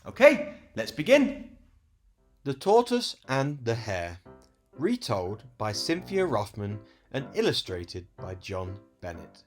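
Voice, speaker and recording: A man reading a children's story aloud as host and storyteller, in an expressive, explanatory way. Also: medium pitch, slow, soft background music.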